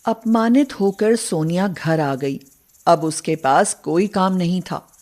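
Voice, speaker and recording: Woman narrator, slow and low, measured storybook reading. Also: darkish tone.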